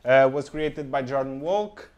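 Young man, Portuguese accent, conference speaker, halting English, handheld mic, indoor room. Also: medium pitch, a little mellow, clear, resonant tone. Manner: halting non-native English with frequent ums and okays. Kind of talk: tech talk presentation.

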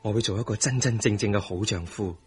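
An actor with a Hong Kong Cantonese accent playing a playful, doting young husband in a radio drama, slightly husky. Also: male voice, on the low side, quick pace, loud music behind the voice, natural voice. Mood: earnest, tender.

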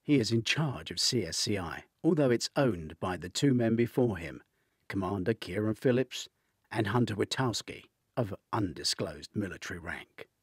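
An older man narrates a thriller with a low, husky voice. His pitch moves freely as he tells the story with dramatic expression, recorded close and dry. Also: animated, moderate pace, no room sound. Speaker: author reading own novel. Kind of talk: audiobook-style thriller narration.

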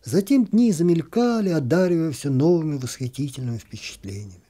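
A man's voice: on the high side, lively intonation, a little fast, dark tone. A man narrating a literary short story as an audiobook reader.

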